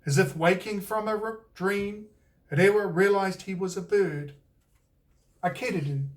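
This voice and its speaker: Slow-paced New Zealand man reading a story. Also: sits high, far from the mic. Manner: unhurried, expressive read-aloud delivery. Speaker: author and storyteller. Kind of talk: scripted picture-book narration.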